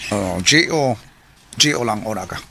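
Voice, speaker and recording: Insistent Bisaya employer, faint noise. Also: male voice, lowish voice, lively intonation, unhurried, creaky, bright, forceful tone, full character voice. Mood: persuasive. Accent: Filipino, Bisaya. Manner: clipped, insistent, mixes in English phrases. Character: smooth-talking, pushy male employer offering a job. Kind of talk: radio drama acting.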